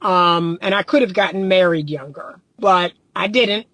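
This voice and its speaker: A woman's voice: fairly low pitch, unhurried, fairly bright tone, no echo. A woman in her thirties, a relationship coach, talking bluntly and casually from her car, reading viewer comments, laughing often. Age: 30s.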